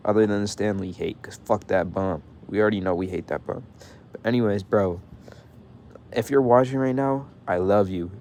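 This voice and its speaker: A man's voice: lowish voice, dark tone, slight background noise. A young man ranting casually to his viewers, profane and heated, saying bro constantly and stuttering when he gets mad. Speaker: vlogger.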